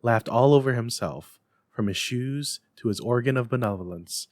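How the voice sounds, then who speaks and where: A man reading a classic story aloud as narrator, in an expressive, measured, dramatic style. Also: medium pitch, no echo, studio quality. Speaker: narrator, library staff reader.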